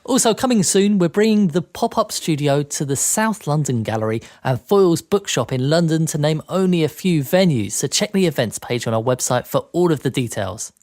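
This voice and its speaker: A man's voice: on the high side, expressive, normal speed, few pauses, darkish tone. A man co-hosts a podcast. His delivery is that of a presenter sharing announcements and links with listeners. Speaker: podcast co-host.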